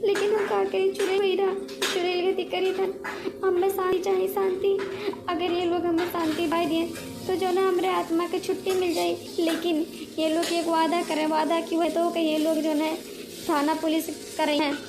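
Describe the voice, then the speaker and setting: A woman acts out a female witch ghost from a cartoon comedy, wronged and resentful. Her voice sits extremely high. She hammers her words home by saying them again. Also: level tone, normal speed. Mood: aggrieved, pleading. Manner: emphatic, repeats words for force. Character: aggrieved female witch ghost, murdered before her wedding. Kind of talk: animated comedy cartoon voice acting in dialect.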